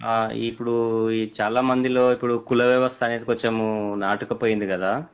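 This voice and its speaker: A man's voice: medium pitch, level tone, normal speed, smooth. A man, a reporter speaking deferentially in a phone interview. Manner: deferential, repeatedly saying madam. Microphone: phone line. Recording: noisy, tinny phone call recording.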